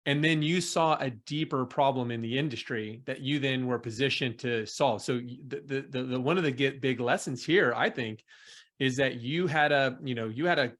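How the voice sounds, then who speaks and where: A man's voice: medium pitch, a little fast, fairly bright tone. Middle-aged male host recapping on a video call. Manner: reflective, recaps the story, jokes. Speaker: interview host. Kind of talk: casual video-call interview. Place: indoor room at home.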